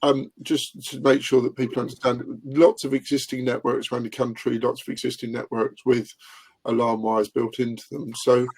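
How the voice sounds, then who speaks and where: A man chairing an online conference, asking questions and introducing speakers in a polite, brisk, upbeat manner over a video call. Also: mid-range voice, darkish tone, husky, rough recording. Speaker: event chair and host.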